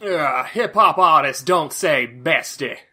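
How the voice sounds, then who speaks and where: A man's voice: fairly high pitch, lively intonation, takes it slowly, sharp tone, faint music behind. A man co-hosting a podcast, reading skit lines and chatting with wry, grumbling humor. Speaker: podcast co-host. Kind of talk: casual chat and scripted skit read live.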